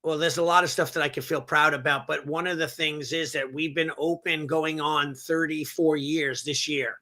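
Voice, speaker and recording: Hoarse, energetic man with a bright voice. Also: a little high, normal speed. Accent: New York. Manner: energetic, rambling, self-correcting. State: slightly hoarse. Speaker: martial arts school owner, guest. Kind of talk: casual podcast interview.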